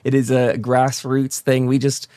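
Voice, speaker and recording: A man's voice: medium pitch, completely flat delivery, unhurried, dark tone, no room sound, clean studio sound. A man hosting a casual interview show, speaking effusively and often stammering over repeated words. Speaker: talk show host.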